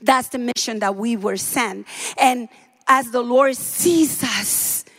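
A woman from the Dominican Republic preaching a sermon in a church, fervent and building to shouts. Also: on the high side, slow pace. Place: church sanctuary.

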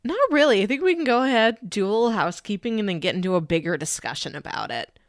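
A woman's voice: medium pitch, lively intonation, fast, no room sound, studio quality. A woman co-hosting a podcast in casual conversation. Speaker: podcast co-host.

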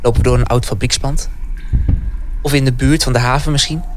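A man's voice: medium pitch, fairly even intonation, brisk, a little hiss or hum, natural voice. A man acting an anxious, defiant young resistance recruit in a dramatic first-person radio play. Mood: nervous, tense.

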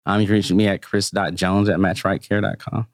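A startup founder talks in a relaxed, easygoing way during a podcast interview. His voice is very low. The sound is dry. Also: a little fast, no echo. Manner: relaxed, easygoing, laughs easily.

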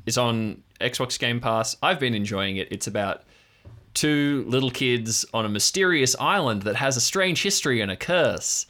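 A man's voice: mid-range voice, expressive, moderate pace, sharp tone. A man hosting and narrating an improvised audio drama as its game master.

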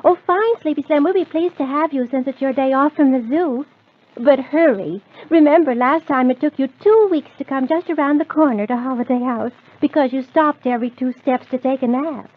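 A woman's voice: high-pitched, moderate pace, natural voice. A woman playing a cheerful, motherly lady of the house in an old-time children's radio show. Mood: bright, welcoming. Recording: tinny, phone-like old radio recording.

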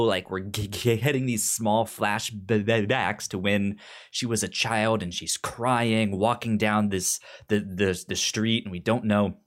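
A man co-hosting a casual TV review chat, speaking haltingly and informally, often repeating words as he goes. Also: on the low side. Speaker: podcast co-host.

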